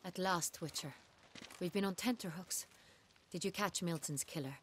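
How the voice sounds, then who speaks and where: An actress playing an imperious duchess in a video game, speaking in a formal, aristocratic manner. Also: female voice, on the low side, moderate pace, a bit bright, a little hiss or hum, close to an everyday voice. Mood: expectant. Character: regal, imperious female duchess. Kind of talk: video game cutscene acting.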